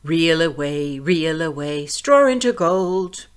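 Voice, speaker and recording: A woman's voice: deep voice, lively intonation, takes it slowly, clear, resonant tone. A woman voicing a wily little dwarf man from a fairy tale, with an emphatic, sing-song delivery that draws out words. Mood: gleeful singing. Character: wily little dwarf man, bargaining, singing, quick to rage.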